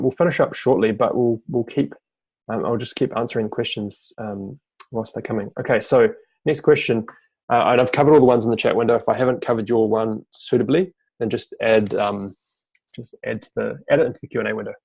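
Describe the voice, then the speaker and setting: A man hosts a live online Q&A. He reads each audience question aloud, then answers in a loose, conversational way. His voice is low, mellow and fry-tinged, close and dry on a computer mic. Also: no echo, rough recording. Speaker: fellowship team member hosting a webinar Q&A.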